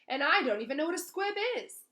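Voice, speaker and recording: A woman voicing a defensive, flustered schoolboy wizard in a storybook, protesting loudly. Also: high-pitched, animated, quick pace, voice pushed up. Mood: indignant. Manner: loud, protesting.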